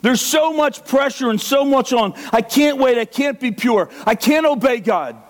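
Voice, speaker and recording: High-voiced man preaching a sermon. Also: expressive, moderate pace. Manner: impassioned, acts out the inner voice of a struggling believer. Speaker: pastor.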